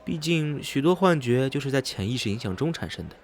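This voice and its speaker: A man acting in a radio drama as an educated, rational traveller who speaks in a measured, inquisitive and sceptical way. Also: mid-range voice, expressive, lightly acted. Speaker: actor in an amateur student radio drama.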